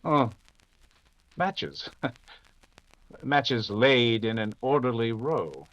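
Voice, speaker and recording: A man's voice: medium pitch, expressive, extremely slow, fairly bright tone, dry recording, dull audio. A man playing a composed, dismissive, wry detective in an old-time radio mystery, urbane in manner.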